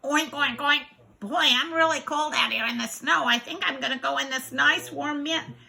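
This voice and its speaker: An eager little forest animal from a children's storybook, acted out by a woman. The voice is high and bright. The delivery is childlike, exclaiming and sing-song. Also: normal speed, gravelly, heavily acted. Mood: chilly, eager. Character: small forest animals, eager, chilly, pleading to squeeze in.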